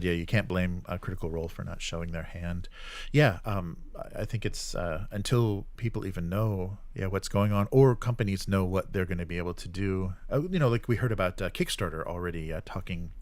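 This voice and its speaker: A man's voice: sits low, dry recording. A man hosting a casual live stream, rambling and thinking aloud as he reacts to chat comments.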